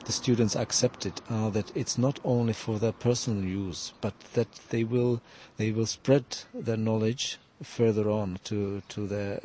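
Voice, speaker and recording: A man, a native Czech speaker, answering interview questions as a department official in halting English with repeated words. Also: on the low side, a little mellow, a little hiss or hum. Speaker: government department head being interviewed.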